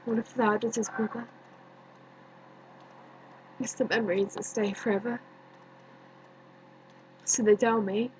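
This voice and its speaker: A woman performing a dramatic stage monologue as a bitter, grieving young woman, deliberate and accusing, with many pauses. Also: fairly high pitch, flat delivery, a little hiss or hum, natural voice, rough recording. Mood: bitter, mocking. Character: bitter grieving young woman haunted by her dead cousin.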